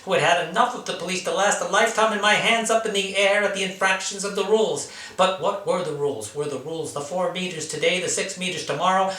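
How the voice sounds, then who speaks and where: A man's voice: high-pitched, bright, forceful tone. A man giving a dramatic spoken-word reading of his own literary writing, with theatrical, rhetorical, emphatic phrasing. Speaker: writer reading own work. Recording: echoey room, distant mic.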